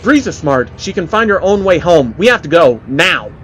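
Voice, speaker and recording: Man voicing a worried, urgent mother, raised pitch. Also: big pitch swings, normal speed, slight background noise. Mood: stern, urgent. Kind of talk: dramatic story reading. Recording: dull lo-fi audio under music.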